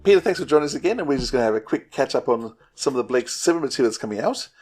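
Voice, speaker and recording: A male podcast interviewer chats casually. He talks fast and with lively pitch movement in a mid-range voice. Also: slightly rough, soft background music.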